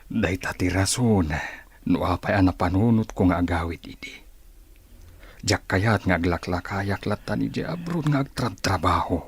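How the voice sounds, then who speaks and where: Raspy, low-voiced older family man. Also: animated, moderate pace, exaggerated voice. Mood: frank, reassuring. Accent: Filipino. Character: plain-living older family man, frank and caring. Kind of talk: radio drama acting.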